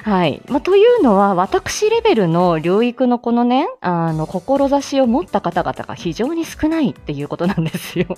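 A woman talks casually as the solo host of a live audio show, with lively, swinging intonation. She is candid and self-deprecating and laughs often as she speaks. Light music plays behind her voice. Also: mid-range voice, moderate pace, hardly any pauses. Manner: laughs often while talking. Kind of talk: casual chatty solo livestream talk.

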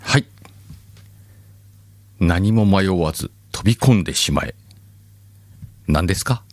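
A man hosting a podcast in casual chat, with relaxed, chuckling asides. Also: low voice, slow pace, no room sound, studio quality. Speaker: podcast host.

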